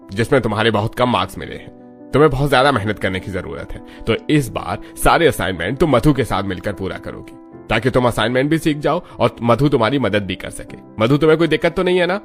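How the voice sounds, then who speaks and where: A man's voice: fairly low pitch, expressive, quick pace, faint music behind, natural voice. A man playing a stern, authoritative college professor in a cartoon story, firm and admonishing. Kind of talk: Hindi cartoon story dialogue.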